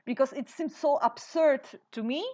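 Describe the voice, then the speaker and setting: Guest: Polish-accented woman, thirties, unhurried and halting, a bit high, dull lo-fi recording. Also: dark tone. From Poland. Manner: hesitant, many fillers and restarts. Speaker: guest, theater director and translator. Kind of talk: interview answers. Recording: dull lo-fi sound.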